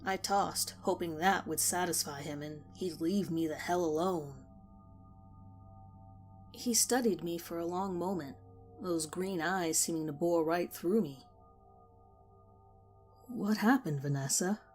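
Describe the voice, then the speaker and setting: A woman's voice: lowish voice, measured pace. A woman reading a scripted first-person horror story as narrator. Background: music.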